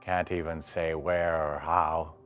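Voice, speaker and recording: An actor playing a reflective, searching senior man, reading a letter aloud in a halting, thoughtful manner. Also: a bass voice, level tone, a bit bright, clean tone, lo-fi sound, soft background music, exaggerated voice.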